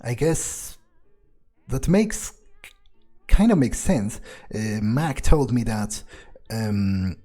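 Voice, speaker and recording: A man doing in-character gameplay commentary, thinking aloud in a halting, profane, sardonic mutter with a husky voice. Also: medium pitch, sing-song, mellow, soft background music. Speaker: gamer role-playing his character.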